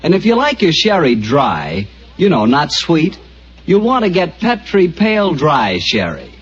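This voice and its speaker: A man announcing a 1940s radio show and reading its sponsor's ad in a smooth, lively salesman delivery. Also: fairly high pitch, measured pace. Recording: dull 1940s radio recording.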